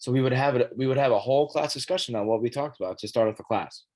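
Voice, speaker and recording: A young man, a student teacher, presenting an app for the classroom in a halting way, his voice scratchy as he clears his throat and coughs. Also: mid-range voice, darkish tone. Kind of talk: informal classroom tech presentation.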